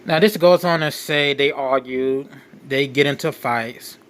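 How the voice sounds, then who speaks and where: A man hosting a show, reading a document aloud with off-the-cuff commentary in a halting way, repeating and rephrasing as he goes. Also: mid-range voice, fairly bright tone, clean tone. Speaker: late night show host.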